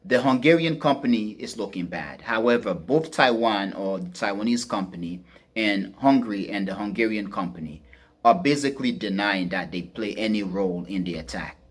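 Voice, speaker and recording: A man's voice: on the low side, animated, normal speed, breathy edge. Man giving emphatic spoken commentary. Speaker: podcast host and commentator. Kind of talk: spoken geopolitical commentary, semi-improvised monologue.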